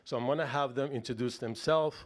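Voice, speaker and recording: A middle-aged man moderating a panel on a conference stage, talking with halting fillers into a podium microphone. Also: mid-range voice. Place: conference stage before an audience.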